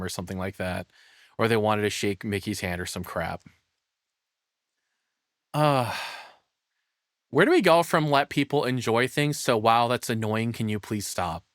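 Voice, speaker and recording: A man's voice: mid-range voice, fairly bright tone, no room sound. A man giving casual reaction commentary as a streamer, rambling and thinking aloud with frequent swearing, close to the microphone.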